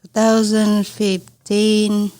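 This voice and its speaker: A woman in her forties with a Vietnamese accent, telling her own story as a podcast guest in halting second-language English. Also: medium pitch, completely flat delivery, warm tone. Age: 40s. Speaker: podcast guest, small business owner. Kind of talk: conversational personal storytelling.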